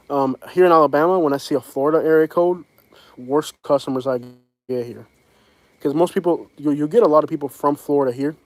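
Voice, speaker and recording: A man's voice: a little high, normal speed, pauses often, no room sound. A man with a Southern US accent chatting casually on a livestream, rambling and frank, with many fillers and restarts. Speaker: vlogger. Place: home in Alabama.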